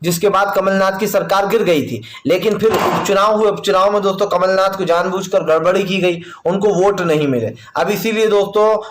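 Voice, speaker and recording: High-pitched man presenting news, echoey. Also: fairly quick, few pauses, background noise. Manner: emphatic, repetitive, colloquial. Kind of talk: opinionated news narration, addressing viewers as friends.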